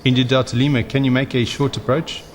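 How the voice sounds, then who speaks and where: A man playing an airport tower controller, reading out scripted clearances in radio-call practice. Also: mid-range voice, little pitch movement, fast, natural voice. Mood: procedural. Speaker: air traffic controller in practice exercises. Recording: clear vehicle-like background noise.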